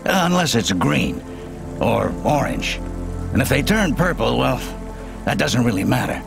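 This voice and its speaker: A muddled, paranoid engineer in a video game who thinks highly of himself. His gravelly voice swoops up and down as he rambles, over faint music. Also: big pitch swings, a little slow, exaggerated voice. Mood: muddled, cautionary. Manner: rambling, muddled, self-important. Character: eccentric male self-styled engineer, muddled, paranoid, self-important.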